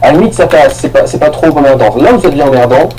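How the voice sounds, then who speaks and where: A man's voice: a little high, very fast, warm tone, rough recording. A man giving a casual, rambling film review, full of restarts and slang.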